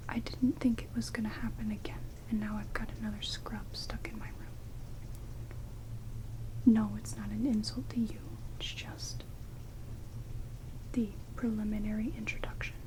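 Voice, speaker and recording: A woman's voice: medium pitch, unhurried, long pauses, lightly acted, poor audio. A woman playing a sardonic, reluctantly helpful vampire in a whispered ASMR roleplay, dry and rude, close on binaural mics. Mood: exasperated. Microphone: close binaural microphones. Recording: binaural whisper with steady rain noise. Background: heavy rain.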